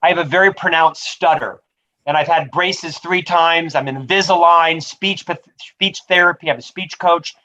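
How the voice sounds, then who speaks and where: Brief: upbeat male author guest, high voice, dull lo-fi call audio. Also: normal speed, bright, forceful tone. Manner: upbeat, promotional, says he stutters but talks fluently. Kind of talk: rapid-fire interview answers.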